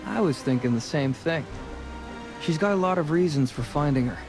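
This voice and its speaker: A man's voice: on the high side, expressive, normal speed, music in the background. A man voicing a roguish, charming, chatty sea adventurer in a fantasy game, with a breezy, self-assured way of talking. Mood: confident, musing. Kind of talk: voiced dialogue in a fantasy game.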